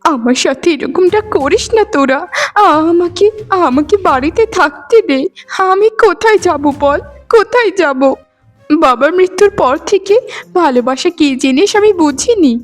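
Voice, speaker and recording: A woman's voice: high-pitched, lively intonation, moderate pace, soft background music. A woman voicing a meek, rejected young village daughter in a melodramatic cartoon, speaking in a plaintive, pleading way. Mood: pleading, sorrowful.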